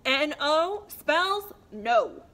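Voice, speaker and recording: A woman's voice: sits high, very slow, fairly bright tone, voice pushed up, full character voice. A woman voicing a rude, grumpy little male gnome in a storybook reading, with curt, snapping refusals.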